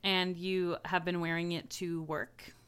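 Podcast co-host, woman, crisp bright tone, crude teasing humor. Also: fairly low pitch, flat delivery, a little slow. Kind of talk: casual chat.

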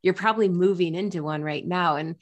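Podcast host woman, low-pitched, thoughtful and encouraging, says like a lot. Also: a little fast, muffled recording. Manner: reflective, encouraging, many "like" fillers. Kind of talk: casual interview chat.

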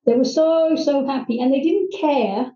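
Distant middle-aged woman, halting. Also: sits high, dark tone. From Hong Kong. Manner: earnest, halting with many ums and restarts. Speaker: guest, private English teacher. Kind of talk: personal storytelling in interview. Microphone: video call. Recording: distant, roomy, dull video-call audio.

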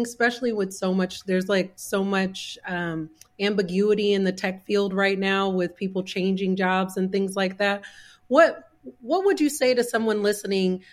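A woman interviewing a guest, asking long questions with many "like"s in an eager, searching way. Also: medium pitch.

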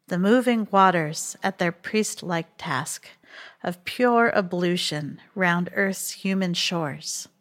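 A woman reciting a poem with measured, deliberate phrasing. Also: lowish voice, a bit bright. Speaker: poetry reader. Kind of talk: poetry recitation.

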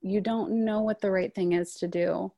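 A woman's voice: mid-range voice, steady tone, normal speed, gravelly, dark tone, dry recording, lo-fi sound. A woman in her thirties, a stage actor, talking through her career in a casual interview, thoughtful and full of "like" and "um.". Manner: thoughtful, frequent "like" and "um" fillers.

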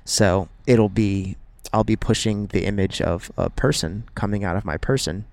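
A young man with heavy vocal fry and a slightly low voice banters as a podcast co-host. He interjects with questions and jokes in loose, slangy everyday speech. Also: normal speed, darkish tone, no echo.

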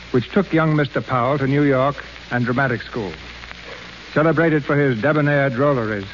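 A man's voice: mid-range voice, dull audio, a little hiss or hum. A man presenting a radio play as host and announcer, reading scripted narration in a formal, florid 1930s style. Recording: band-limited 1930s radio broadcast.

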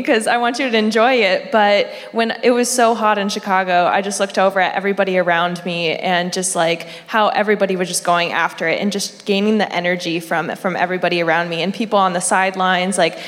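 Woman, pro runner, easygoing advice, mid pitch, roomy hall echo. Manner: relaxed, uses like often. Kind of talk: casual advice. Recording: reverberant hall.

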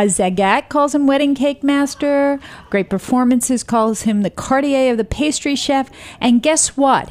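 A middle-aged woman hosting a radio interview show from a studio, enthusiastic and quick to laugh. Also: a little high, darkish tone. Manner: enthusiastic, laughs often, breathless asides.

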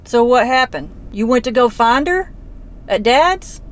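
A woman's voice: a little high, animated, measured pace. A woman, questioning a man on a phone call in a blunt, folksy, persistent way. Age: 40s. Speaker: relative investigating a disappearance. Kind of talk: casual phone conversation.

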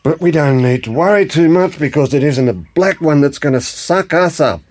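A man's voice: a little high, lively intonation, moderate pace, a little mellow. An actor playing a worried, gullible older grandfather in a comic audio drama, earnest, blunt and a little hesitant.

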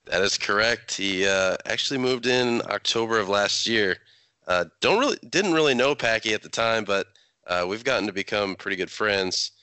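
A man's voice: fairly low pitch, moderate pace, pushed and bright, smooth, dry recording. A man who is a little under the weather, chatting casually about sports as a podcast co-host.

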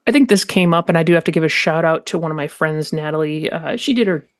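A woman's voice: sits low, fast, no echo, clean studio sound. A woman co-hosting a podcast, moving between scripted reading and personal discussion. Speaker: podcast host.

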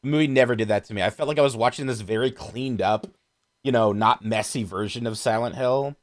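A man's voice: medium pitch, fairly quick. A man in a casual, opinionated chat about films as a podcast guest, swearing freely and wandering into asides.

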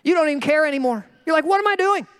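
A male pastor preaching a sermon in an animated, joking way, acting out the people he quotes. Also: extremely high pitch, racing, in a large hall. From Minnesota.

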